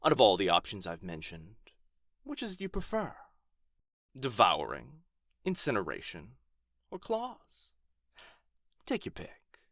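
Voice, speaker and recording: Man acting as menacing wounded dragon, lowish voice, hard forceful edge, taunting, theatrical, ASMR roleplay, close dry sound. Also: wildly swooping pitch, no echo, full character voice. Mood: sadistic, toying. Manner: theatrical, taunting, drawn-out menacing delivery with whispers. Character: proud, menacing, wounded male dragon guarding his gold.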